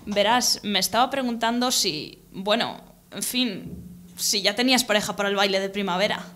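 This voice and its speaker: A woman's voice: mid-range voice, normal speed, a bit bright, natural voice. A woman voicing an eager, nerdy teenage boy in a radio serial, with an accent from Spain. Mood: shy. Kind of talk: Spanish radio serial acting.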